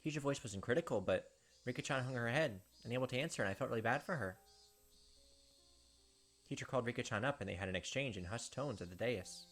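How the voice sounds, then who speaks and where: A man with a medium-pitched voice hosts a visual novel let's play. He moves between casual commentary and reading narration aloud. Soft music plays faintly behind him. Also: fast talker.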